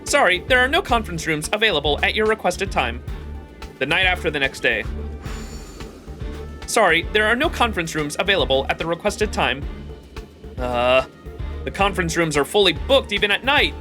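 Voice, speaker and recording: A man's voice: sits high, big pitch swings, moderate pace, pauses often, over music. A male live streamer reading game text aloud expressively, with his own commentary mixed in.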